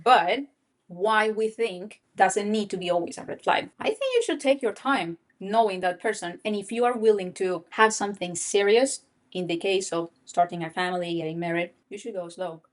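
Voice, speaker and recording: A woman's voice: mid-range voice, normal speed. A woman whose first language is Spanish, co-hosting a casual chat about dating, often restarting her sentences and repeating words. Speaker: podcast co-host.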